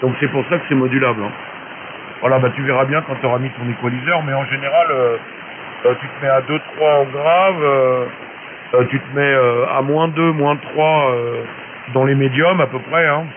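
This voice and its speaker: A man's voice: medium pitch, steady tone, moderate pace. A man talking as an amateur radio operator over shortwave, giving casual technical advice in a chatty, colloquial way. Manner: colloquial, chatty explaining, frequent tag words. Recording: narrow hissy noisy shortwave radio transmission.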